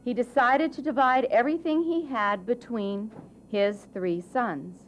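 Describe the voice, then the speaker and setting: A woman narrating a children's story in a deliberate, expressive way with dramatic phrasing. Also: a little high, slight background noise.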